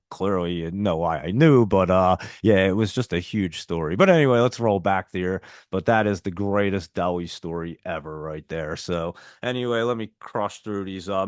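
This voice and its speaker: A man's voice: lowish voice, smooth, dry recording, clean studio sound. A man with a heavy New Jersey accent, casually chatting through stock picks and viewer messages on a live trading stream. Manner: chatty, reads viewer messages and ticker symbols. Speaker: stock trading livestream host. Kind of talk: casual live market chat.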